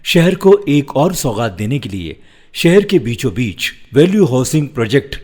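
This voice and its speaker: A middle-aged man delivering scripted promotional and documentary narration in a dramatic, measured, emphatic way. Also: mid-range voice, big pitch swings, a little mellow. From India. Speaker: voice-over artist.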